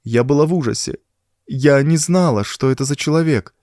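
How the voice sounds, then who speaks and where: A man voicing a frightened, bitter young woman in an audiobook, speaking in a plaintive, soft, emotional way. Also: fairly high pitch, normal speed, no room sound, studio quality, voice pushed up, lightly acted. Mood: anxious, confiding. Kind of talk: audiobook character dialogue.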